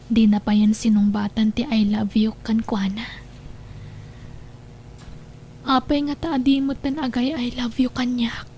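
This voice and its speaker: A woman acting a gentle, trusting, ailing young girlfriend in an Ilocano audio drama, with a soft, tender manner. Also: on the high side, a little hiss or hum. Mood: hurt, musing.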